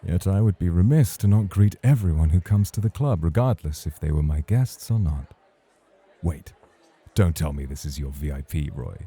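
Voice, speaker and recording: A man playing a suave, teasing champion club host in an ASMR roleplay, with a husky voice and courtly manner. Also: deep voice, lively intonation, normal speed, dark tone. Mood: courtly, charming.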